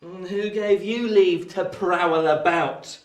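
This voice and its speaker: High-voiced jaunty young gentleman. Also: fairly even intonation, takes it slowly. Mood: cocky, challenging. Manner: formal, jaunty, well-mannered. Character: cocky, polite pale young gentleman, a boy. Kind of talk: radio play acting of Victorian drama. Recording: echoey room sound.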